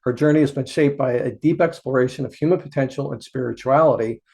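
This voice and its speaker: Male podcast host, friendly and hesitant, average pitch. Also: fast, vocal fry, mellow. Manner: friendly, hesitant, many fillers and restarts when asking. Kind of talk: podcast interview.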